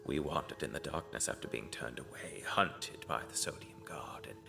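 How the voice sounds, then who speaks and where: Very deep, gravelly underground tailor. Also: male voice, moderate pace, over music, full character voice. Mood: bitter, solemn. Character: gruesome but apologetic underground tailor, cult member who stitches corpses. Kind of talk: audio drama acting.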